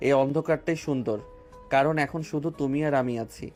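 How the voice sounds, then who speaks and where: A man plays a doting young husband in a romantic audio drama, talking fast and sweetly as he teases his wife. His voice sits at a middle pitch. Soft music plays under it. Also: lightly acted. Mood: tender. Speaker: voice actor.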